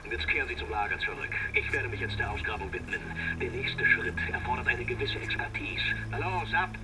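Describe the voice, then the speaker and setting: Curt German officer over radio. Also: male voice, mid-range voice, lively intonation, fairly quick, very noisy. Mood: commanding. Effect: radio transmission. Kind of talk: cinematic adventure game acting. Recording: radio voice under loud music.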